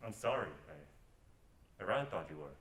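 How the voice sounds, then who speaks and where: A man's voice: low voice, animated, moderate pace, fairly bright tone, roomy sound. A man in an amateur stage play acting a roguish, flirtatious adventurer who talks in a teasing way. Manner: smooth-talking, teasing.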